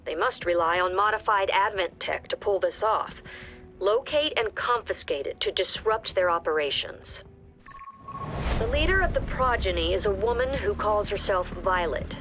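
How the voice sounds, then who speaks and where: A composed, authoritative female commander played by an actress briefs her squad in a video game. Her mid-range voice is measured and clipped, with prominent music behind it. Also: medium pitch, expressive, a bit bright, close to an everyday voice.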